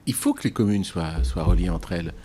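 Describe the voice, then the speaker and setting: A man speaking as a town mayor in a political interview, halting and repeating words for emphasis. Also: low voice, warm tone.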